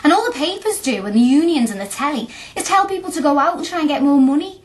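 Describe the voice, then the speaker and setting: An actress playing a chatty, blunt young working-class student in a radio play, speaking colloquially and earnestly. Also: female voice, high voice, expressive, quick pace. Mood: earnest, impassioned.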